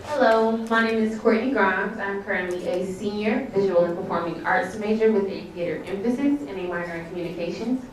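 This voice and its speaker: A young woman from Virginia, a theater student on a panel, introducing herself in a measured, polished way. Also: mid-range voice, background noise. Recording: echoey hall, distant mic.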